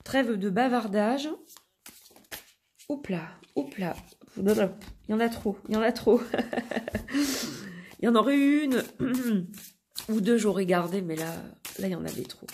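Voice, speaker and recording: A woman with a cold giving a casual, rambling tarot reading. Her nose is stuffed, so she sounds nasal, and her throat is hoarse. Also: mid-range voice, unhurried, long pauses, a little hiss or hum. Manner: rambling, relaxed, many fillers and self-corrections. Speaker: tarot card reader.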